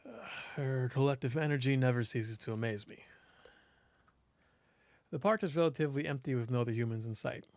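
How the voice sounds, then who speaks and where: A man reading a visual novel aloud as host, in expressive scripted narration. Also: mid-range voice, brisk, pauses often, no echo.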